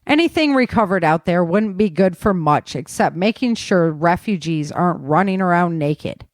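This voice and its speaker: A woman's voice: on the low side, lively intonation, moderate pace, no echo, lightly acted. A ruthless army captain performed by a woman narrator in an audio story. He is insistent and full of disdain, recorded close and dry. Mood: insistent, disdainful. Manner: dismissive, insistent.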